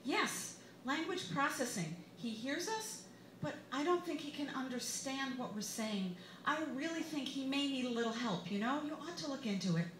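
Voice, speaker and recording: A woman voicing an earnest, gently insistent mother who gives advice in a careful, soothing, preachy way during a live memoir reading. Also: sits high, expressive, normal speed, pitched above the performer's own voice. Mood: concerned, insistent. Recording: roomy, echoey bar with background noise.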